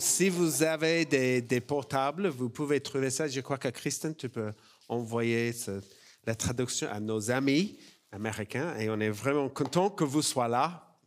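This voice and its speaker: A young man with an Australian accent, speaking French as a church preacher in a relaxed, personal way with many fillers and restarts. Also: mid-range voice. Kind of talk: sermon.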